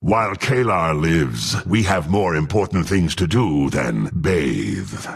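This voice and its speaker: A menacing, proud half-orc fighter from a fantasy game. He talks slowly and formally in a gruff, husky voice, swinging from growls to haughty commands. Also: low-pitched, expressive, full character voice. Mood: dry, threatening. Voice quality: gruff, gravelly. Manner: haughty, threatening, formal archaic speech. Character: menacing proud half-orc warrior sworn to dark patron. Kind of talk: dramatic fantasy game voice acting.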